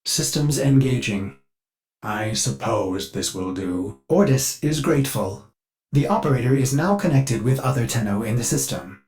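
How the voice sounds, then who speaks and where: An actor voicing a servile, anxious-to-please ship artificial intelligence in a video game, polite, formal and theatrical. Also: male voice, mid-range voice, expressive, moderate pace, a little mellow, natural voice. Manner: polite, formal, theatrical, speaks of himself in third person. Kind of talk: scripted video game character lines.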